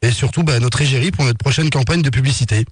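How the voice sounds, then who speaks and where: A man's voice: mid-range voice, fast talker, breathy edge, no echo, close to an everyday voice. A man playing a polite, insistent marketing assistant for a betting company on a prank phone call, coaxing with hesitant sales talk. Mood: polite, businesslike. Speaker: prank caller.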